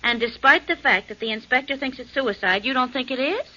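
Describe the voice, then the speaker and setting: An actress playing a detective's girlfriend in a 1940s radio drama, light and gently teasing. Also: high-pitched, lively intonation, brisk, exaggerated voice. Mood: playful. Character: detective's girlfriend, warm and playful. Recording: dull lo-fi 1940s radio broadcast.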